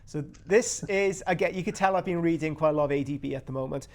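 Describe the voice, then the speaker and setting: A Welsh man with a slightly higher-pitched voice hosts a casual hobby chat. He talks enthusiastically and rambles, often restarting his sentences as he goes. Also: fast. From Wales. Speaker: host.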